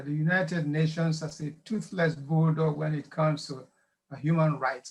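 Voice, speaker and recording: A male panelist with a fairly high voice for a man. He argues his point deliberately, with emphasis on each phrase. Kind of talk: panel discussion.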